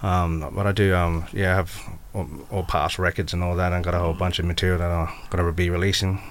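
An Australian man from Brisbane, guesting as a rapper on a radio show, speaks in a fairly low voice. He talks hesitantly, often stopping and restarting with fillers as he thinks. He is in a radio studio. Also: flat delivery, fast talker, slight background noise. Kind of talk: casual radio interview.

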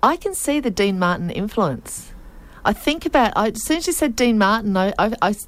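A woman hosting a relaxed interview show. She is chatty and laughs often as she guides her guest. Her voice sits at a middle pitch. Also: normal speed. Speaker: podcast host. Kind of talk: casual interview chat.

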